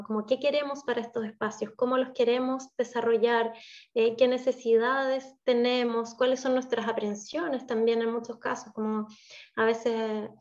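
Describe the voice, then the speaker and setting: Woman, presenter, thoughtful, restarts, high flat voice, far-off dull sound. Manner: thoughtful, many restarts and fillers. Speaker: invited speaker on wetlands. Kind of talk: presentation in an online talk. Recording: distant, dull lo-fi audio.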